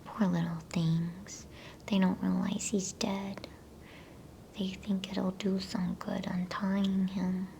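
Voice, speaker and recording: A woman voicing a gentle, tender-hearted little storybook girl in a soft whisper. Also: mid-range voice, level tone, slow, vocal fry, a little hiss or hum. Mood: pitying.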